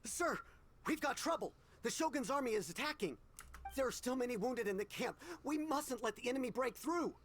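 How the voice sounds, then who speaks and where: A man's voice: very high-pitched, animated, brisk, a bit bright, slight background noise. An actor playing a dutiful, formal military scout who reports to his superior in a video game. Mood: alarmed, urgent. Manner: formal, deferential.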